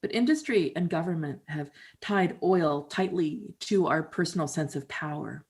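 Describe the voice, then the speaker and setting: A woman with a somewhat low voice gives a dramatic reading. She tells her story in an unhurried, reflective way, and the pacing is measured for performance. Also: a little mellow. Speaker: storyteller and musician. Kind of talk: dramatic reading of documentary theater.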